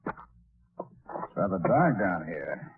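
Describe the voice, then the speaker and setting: An actor playing a decisive spaceship commander in a 1940s radio drama, with a brisk, authoritative manner. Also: male voice, low voice, big pitch swings, background noise, full character voice. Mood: watchful. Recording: tinny band-limited old radio recording.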